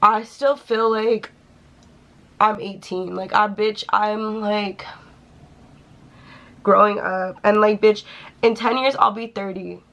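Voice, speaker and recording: A woman's voice: medium pitch, steady tone, slow pace, pauses often, fairly bright tone, muffled recording. A young woman chatting casually and swearing freely while she does her makeup in a small room. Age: young adult. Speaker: beauty vlogger.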